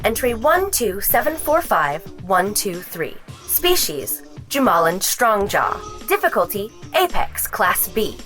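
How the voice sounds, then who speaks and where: Casting: sci-fi field guide narrator, high voice, formal measured recitation over music. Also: female voice, fairly bright tone. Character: female hunter's guide voice reciting creature entries. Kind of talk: scripted encyclopedia narration, formal announcer delivery.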